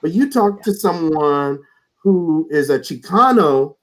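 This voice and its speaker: A man's voice: a little high, lively intonation, slow, rough recording. A man speaking as a guest in a reflective conversation over a video call, earnest, often repeating words as he starts a thought.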